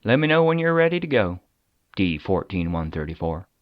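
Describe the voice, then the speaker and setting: An actor playing a weary, persuasive government agent in an audio drama, talking conversationally with deliberate dramatic pauses. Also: male voice, low-pitched, big pitch swings, muffled recording, slight background noise. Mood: persuasive, solemn. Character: weary, persuasive male agent of a secret containment agency.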